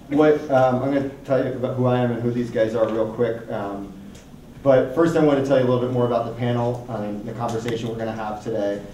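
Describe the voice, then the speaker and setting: A man moderating a conference panel in a relaxed, friendly way with frequent fillers. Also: medium pitch, a little hiss or hum. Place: conference hall. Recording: echoey hall, mic from a distance.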